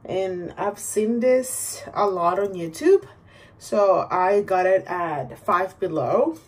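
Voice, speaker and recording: A woman's voice: medium pitch, slow. A woman, chatting casually like a bilingual vlogger while going through a routine. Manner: relaxed, switches between Spanish and English. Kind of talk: casual bilingual vlog, narrating a skincare routine. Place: small indoor bathroom. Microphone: camera or phone at about arm's length. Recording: clearly noisy home bathroom recording.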